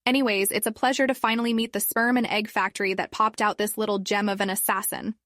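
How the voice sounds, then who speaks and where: A woman voicing a crude, brash male imp boss who teases and shouts. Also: mid-range voice, flat delivery, quick pace, dry recording, clean studio sound, natural voice.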